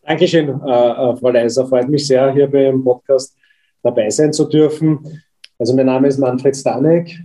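A man's voice: medium pitch, fairly even intonation, measured pace, warm tone. A man in his fifties with an Austrian German accent, a company executive answering interview questions thoughtfully, with frequent hesitations. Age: 50s. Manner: thoughtful, many hesitations and fillers.

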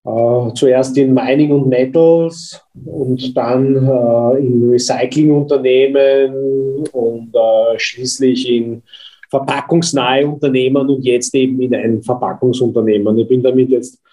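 A man in his fifties with an Austrian German accent speaks as an executive guest. His warm, darker voice sits at an ordinary pitch, and he talks thoughtfully, pausing often with fillers. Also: fairly even intonation, rough recording. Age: 50s. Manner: thoughtful, many hesitations and fillers. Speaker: company executive. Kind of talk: interview answers.

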